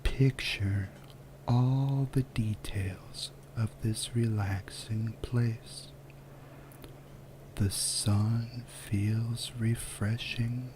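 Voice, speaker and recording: A man softly guiding a relaxation visualization in ASMR style, with a breathy, whispery voice and a soothing, unhurried delivery full of pauses. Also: lowish voice, steady tone, background noise. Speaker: guided relaxation narrator. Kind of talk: soft-spoken ASMR guided visualization.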